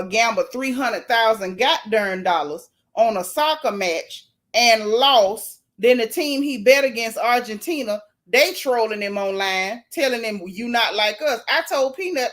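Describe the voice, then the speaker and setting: Sassy woman gossip host, bit high. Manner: sassy, blunt, animated storytelling with asides. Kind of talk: casual live chat reading comments.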